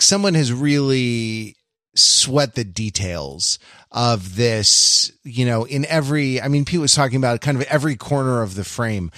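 A man's voice: mid-range voice, fairly bright tone, no echo, clean studio sound. A man co-hosting a podcast, talking casually about film in a hesitant, meandering way that keeps interrupting itself. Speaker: podcast co-host.

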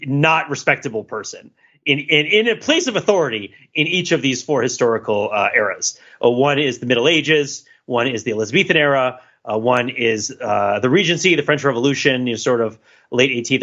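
A man's voice: medium pitch, bright, forceful tone, lo-fi sound. A man co-hosting a podcast in casual discussion, talking in rambling runs with many restarts and fillers. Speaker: podcast co-host.

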